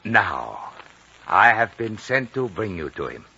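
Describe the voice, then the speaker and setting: An actor playing a courteous, composed official of a mountain lamasery in a formal, measured 1950 radio drama style. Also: male voice, fairly low pitch, sing-song, bright, forceful tone, breathy edge, exaggerated voice. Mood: proud, urgent. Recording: dull noisy 1950 radio broadcast.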